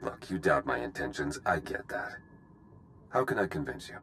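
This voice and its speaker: A wry, roguish spy in a game cutscene. His voice is very low yet swings in lively fashion, casual and sardonic as he talks people round. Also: moderate pace, slight background noise. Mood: persuasive. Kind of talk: dramatic video game cutscene acting.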